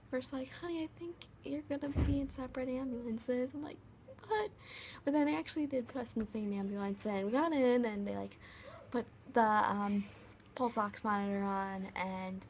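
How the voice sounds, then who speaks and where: A young woman vlogger with a high-pitched voice, casually retelling a story to camera, full of "like" fillers. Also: slight background noise. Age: young adult. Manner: chatty, many "like" fillers, animated retelling.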